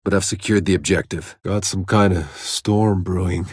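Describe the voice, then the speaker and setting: A man playing a gruff, sardonic, battle-worn soldier in a sci-fi video game, with a gritty, husky voice and a terse, dry delivery. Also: low-pitched, fairly even intonation, moderate pace, no room sound. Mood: casual, alert.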